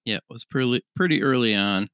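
A man's voice: mid-range voice, fairly quick, a bit bright, no echo, clean studio sound. A middle-aged man co-hosting a podcast, answering in brief, matter-of-fact remarks. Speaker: podcast co-host. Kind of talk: casual chat.

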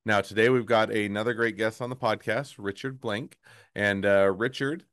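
A man hosting a podcast interview, talking in a halting way with many fillers and restarts. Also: lowish voice, fairly even intonation, a bit bright, smooth, no echo, studio quality. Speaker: podcast host.

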